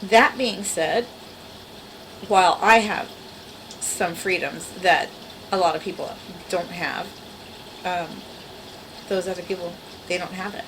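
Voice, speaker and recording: A woman's voice: fairly low pitch, fairly even intonation, slow, long pauses, fairly bright tone, a little hiss or hum, poor audio. A woman in her forties with a small, girlish voice, chatting casually and wryly to her camera at home. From the Bronx, New York. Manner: rambling, self-deprecating, wry. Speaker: vlogger. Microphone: camera mic.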